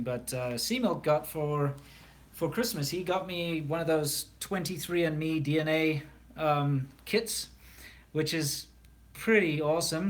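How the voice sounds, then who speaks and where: A man from South Africa with a South African English accent, hosting a casual live stream and answering viewer questions in a chatty way, with frequent ums and repeated words. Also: fairly high pitch.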